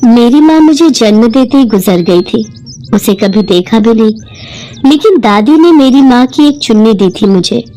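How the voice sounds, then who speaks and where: Woman voicing a humble young working woman, higher-pitched. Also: animated, normal speed, mellow, faint music behind, lightly acted, rough recording. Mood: wistful, sad. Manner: deferential, earnest. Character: poor, humble, hardworking young hotel worker woman, earnest and wistful. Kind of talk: Hindi moral-story cartoon acting.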